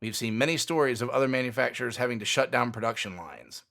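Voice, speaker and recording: A man's voice: medium pitch, fast, gravelly, fairly bright tone. A man hosting a podcast, reading fluent, opinionated scripted commentary on company news. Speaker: podcast host.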